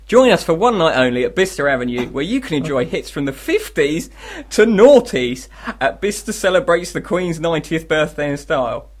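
A man's voice: on the high side, big pitch swings, brisk, hardly any pauses. A man co-hosting a radio show, chatting casually with jokey, dry sarcastic asides. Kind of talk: casual comic radio banter.

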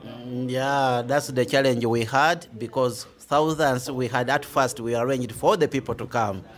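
A man's voice: medium pitch, fairly even intonation, measured pace. A man from Uganda with a Ugandan accent, a pastor answering interview questions in earnest, plain words. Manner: plain, earnest, repeats "we are blessed".

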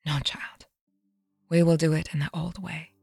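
A woman voicing a calm, wise elderly aunt with fangs, speaking in a hushed, breathy, soothing way. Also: on the low side, measured pace, no room sound, exaggerated voice. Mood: tender. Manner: soft, steady, soothing.

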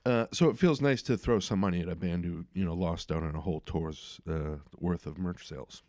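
Middle-aged male podcast host, deep voice, easygoing and rambling. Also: fairly quick. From eastern United States. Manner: relaxed, filler-heavy, trails off quietly. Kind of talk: casual interview chat.

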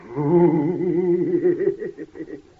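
Slow, gloating radio villain, tinny recording. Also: male voice, on the high side, fairly even intonation. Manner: slow, taunting, commanding, with sinister chuckles. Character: menacing, gloating villain in a coffin, controlling his sister. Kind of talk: 1930s radio mystery acting. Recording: tinny, phone-like old 1937 radio recording.

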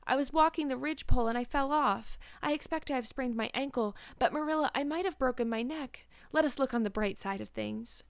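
A woman's voice: sits high, fairly quick, few pauses, dry recording, voice pushed up. A woman voicing an earnest, dramatic young girl in a storybook, talkative and grandly wordy. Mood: reassuring. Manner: solemn, grandly wordy, theatrical. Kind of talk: storybook character voice.

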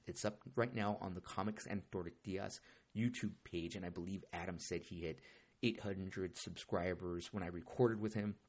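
A man hosting a casual solo podcast, hoarse and talking in a rambling, heavily profane way with frequent restarts. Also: low voice. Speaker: podcast host.

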